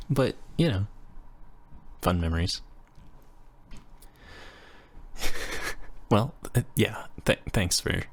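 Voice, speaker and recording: A man acts out a young, nerdy engineering student with a low-pitched voice. He rambles and stammers through casual roleplay chat, flustered and quick to poke fun at himself, as if talking to a roommate. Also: expressive, exaggerated voice. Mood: sheepish, fond. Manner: stammering, rambling, self-deprecating. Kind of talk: intimate roleplay audio, casual scripted chat.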